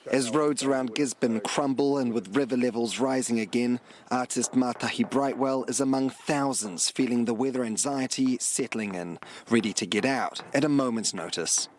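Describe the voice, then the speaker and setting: A man's voice: mid-range voice, normal speed, a little hiss or hum. A man with a New Zealand accent reporting for television news from out in the field. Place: outdoors on location by damaged roads.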